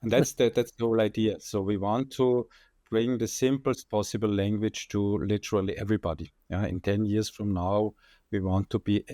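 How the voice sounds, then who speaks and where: Low-voiced Austrian man, dry close mic. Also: mellow, no room sound. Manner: explanatory, joking, many fillers. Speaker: guest enterprise architect. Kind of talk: podcast interview. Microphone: condenser microphone.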